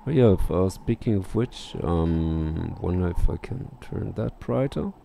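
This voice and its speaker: A man's voice: low voice, animated, measured pace, gravelly, warm tone, slight background noise. A man, sounding middle-aged, giving casual gameplay commentary and reading game text aloud, muttering to himself and swearing casually into a headset mic. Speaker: let's play streamer. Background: faint game music.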